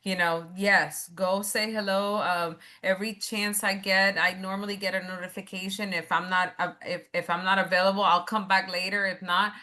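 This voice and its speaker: Woman host, bright sharp tone, encouraging, upbeat, video call. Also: mid-range voice, level tone, normal speed, hardly any pauses. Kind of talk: hosting a video call networking event.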